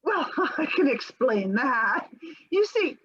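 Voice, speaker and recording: Woman playing a flighty housewife, high voice, wavering and giggly, slow unhurried lines, lo-fi call audio. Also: very animated, fairly bright tone, full character voice. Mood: airy. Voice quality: quavering, giggly delivery. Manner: chattery and airily evasive. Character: flighty, evasive middle-aged housewife, breezily unconcerned. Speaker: reader in an amateur readers theater performance. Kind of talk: comic readers theater scene read over a video call. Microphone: home video call mic.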